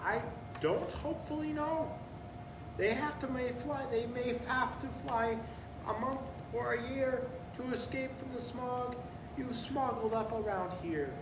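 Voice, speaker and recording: A man voicing an indignant, scolding little storybook creature in rhyme, emphatic and complaining. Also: a little slow. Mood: aggrieved. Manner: loud, emphatic, pleading and complaining. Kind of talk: storybook read-aloud in rhyme. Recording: roomy, distant mic, noisy with rumble.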